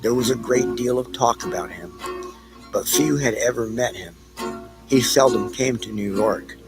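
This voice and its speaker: A man narrating an audio play from a script, with a halting delivery that repeats phrases. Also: medium pitch, over music, rough recording.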